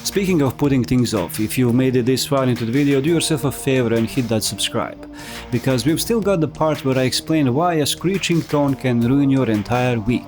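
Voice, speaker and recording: A man's voice: medium pitch, brisk. Male video essay narrator over music. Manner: wry, conversational asides. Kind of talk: scripted explainer narration.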